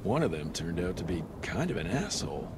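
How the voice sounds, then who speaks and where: A man playing a gruff, sardonic monster-hunting gunslinger in a western video game, terse and deadpan. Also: sits low, fairly quick, heavy background noise. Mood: dry, curt.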